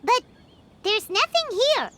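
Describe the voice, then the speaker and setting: A woman voicing a tiny, excitable, childlike fairy companion in a video game, with a squeaky, animated delivery. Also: takes it slowly, slight background noise, heavily acted. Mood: puzzled, skeptical. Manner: animated, exclaiming. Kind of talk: video game voice acting, scripted dialogue.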